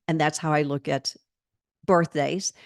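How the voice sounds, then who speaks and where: An older woman in her sixties speaks in a lower voice over a video call, close and dry with no room around her. She answers in an earnest, reflective way and laughs easily between thoughts. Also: steady tone, a little slow, vocal fry, no echo. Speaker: fitness trainer and guest. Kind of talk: conversational interview answers.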